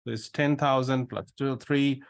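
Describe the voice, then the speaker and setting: A man's voice: medium pitch, steady tone, moderate pace, gravelly. A man hosting an informal live interview, asking questions and summing up, often repeating his words in agreement. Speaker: interview host.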